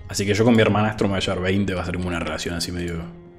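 A young Argentine man in his twenties, talking in a somewhat low voice and a relaxed, chatty way. He records on a headset mic at home, with faint music playing behind him. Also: very fast. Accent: Argentine Spanish. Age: 20s. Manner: laid-back, chatty, says "boludo" often, reads chat aloud. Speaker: streamer. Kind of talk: casual gaming livestream chat. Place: home room, indoors. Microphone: over-ear headset.

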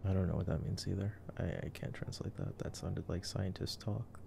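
A man playing a gentle, nerdy boyfriend in an ASMR sleep-aid roleplay, chatting softly and playfully about a film. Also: sits low, fairly even intonation, fairly quick, creaky, background noise. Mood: teasing, chatty.

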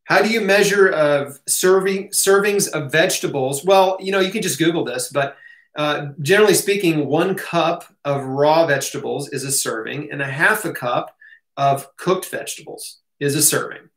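A man's voice: a little high, moderate pace. An American man in his thirties or forties, answering audience questions in a casual, opinionated, colloquial way. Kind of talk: casual Q&A talk to camera. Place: small home office, indoors. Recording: roomy echo of a home room.

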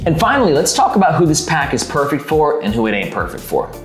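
A man's voice: fairly high pitch, fast, over music. A man in his thirties, the host of a backpack channel, giving a blunt and slangy review seated at a table in an office room. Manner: animated, slangy and blunt, with hand gestures. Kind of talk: casual direct-to-camera review. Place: office room with glass walls. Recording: echoey room.

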